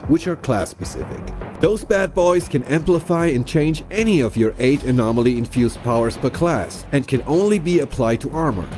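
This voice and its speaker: A man narrates a scripted game promo with a lively, swinging melody to his speech. His tone is mellow and soft-edged, and he pitches to the listener in a chatty, playful way. Music plays behind the voice-over. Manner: conversational, playful sales-pitch delivery with rhetorical questions. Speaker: narrator of a game feature trailer.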